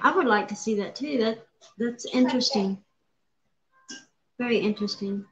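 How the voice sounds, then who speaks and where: A woman's voice: on the high side, moderate pace, fairly bright tone, a little hiss or hum. A middle-aged woman, chatting casually as host of a home cooking livestream on a webcam. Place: home kitchen, indoors. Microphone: webcam at the table. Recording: echoey, dull webcam audio in a home room.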